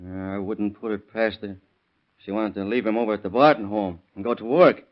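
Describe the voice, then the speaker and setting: Excitable, blunt radio-drama husband, lo-fi acted by a man. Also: lowish voice, a little fast, natural voice. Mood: blunt, grumbling. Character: hot-tempered young immigrant-family father, anxious and blunt. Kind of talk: 1930s radio crime drama acting. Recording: dull lo-fi vintage radio recording.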